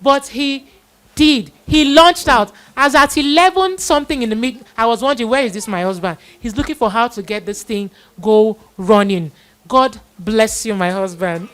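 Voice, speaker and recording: A woman's voice: fairly high pitch, moderate pace, fairly bright tone. A middle-aged woman hosting a live children's Bible event, introducing and addressing people in an exhorting manner with repeated phrases.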